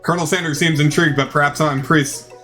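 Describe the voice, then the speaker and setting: A man's voice: fairly high pitch, fairly even intonation, fast talker, pushed and bright, soft background music. A man narrating a video game playthrough in a theatrical way, reading the text aloud and stumbling over the odd word.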